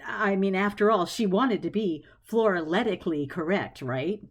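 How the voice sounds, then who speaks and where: A woman narrating a scripted story as an expressive storyteller. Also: medium pitch, moderate pace, breathy edge.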